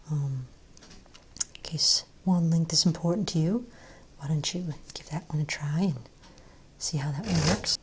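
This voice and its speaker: Soft-spoken, low-pitched woman, near-whispering ASMR. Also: breathy edge. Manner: gentle, unhurried, reassuring shopkeeper patter. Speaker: ASMR role-play performer as wand shop owner. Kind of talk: soft-spoken ASMR role play, improvised sales patter.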